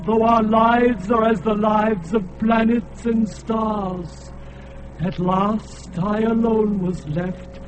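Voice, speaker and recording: An ancient, blinded alien god in an old radio play. He sings out his grief in a high, slow and solemn chant, and faint music plays under him. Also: low-quality recording. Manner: slow, grave, chanting delivery. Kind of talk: radio drama acting.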